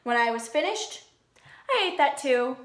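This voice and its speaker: High-voiced woman reading slowly to preschoolers. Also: lively intonation, fairly bright tone, slight background noise. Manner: simple explanatory phrasing, prompting listeners with questions. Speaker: preschool teacher reading a picture book aloud. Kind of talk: storybook read-aloud with questions to young children. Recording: echoey room.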